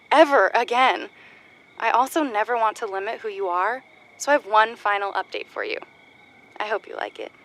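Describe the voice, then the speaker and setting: A woman playing a rueful, tender older sister in an audio drama, speaking in a halting, confessional way. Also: medium pitch, close to an everyday voice. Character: rueful, tender older-sister runner recording a heartfelt message.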